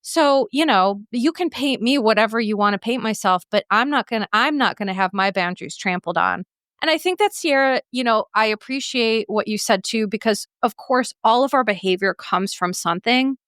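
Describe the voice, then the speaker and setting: A woman hosting a podcast in casual chat, outspoken and animated, swearing freely. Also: a little high, normal speed, dry recording, studio quality. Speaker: podcast host.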